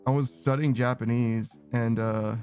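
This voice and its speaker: A man's voice: medium pitch, fairly even intonation, measured pace, darkish tone, clean tone. A young man streaming a game, rambling casually with many restarts and fillers. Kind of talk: casual chat while gaming. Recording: faint music behind.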